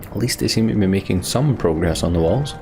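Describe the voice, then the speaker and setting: A man's voice: sits low, expressive, fairly quick, gravelly, over music. A man hosting a let's play, reading game narration aloud and adding his own commentary.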